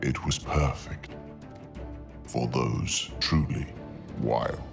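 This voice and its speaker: A male narrator delivers scripted fantasy in an ASMR storytelling style. His voice is exceptionally deep and gravelly. He takes his time, placing each phrase deliberately with dramatic pauses, over faint music.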